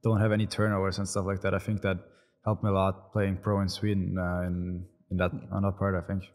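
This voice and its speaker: A young man with an Austrian German accent talks in a lowish voice, feeling his way through each answer with "like" and false starts. His speech trails on in a room with a long, ringing reverb. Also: monotone, a little mellow. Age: 20s. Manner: halting, many fillers and restarts. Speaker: hockey player guest. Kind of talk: casual interview answers.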